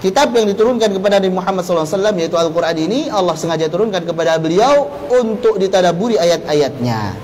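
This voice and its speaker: A man's voice: high voice, lively intonation, quick pace, a little mellow, a little hiss or hum. An Indonesian man giving an instructional religious lecture as a teacher, explaining step by step with rhetorical questions. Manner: explanatory, poses rhetorical questions and answers them. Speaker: Islamic teacher giving a Quran recitation course. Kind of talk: instructional religious lecture with Arabic terms and quotations.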